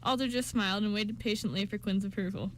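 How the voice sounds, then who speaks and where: A woman's voice: mid-range voice, fairly even intonation, fast talker, slight background noise, rough recording. A woman reading scripted narration for an amateur radio play, with small stumbles.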